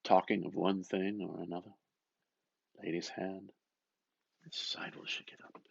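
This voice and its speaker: A man reading a novel aloud with expressive, dramatic narration, musing and often dropping into a hushed murmur. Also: deep voice, measured pace, vocal fry, husky, muffled recording. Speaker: reader. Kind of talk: expressive literary audiobook narration.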